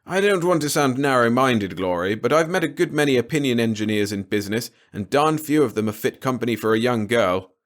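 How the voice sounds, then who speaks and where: A man's voice: medium pitch, lively intonation, fairly quick, few pauses, pitched above the performer's own voice, close to an everyday voice. A man voicing a grumpy, pompous, disapproving middle-aged father in a storybook reading. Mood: stern. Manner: blustering, pompous.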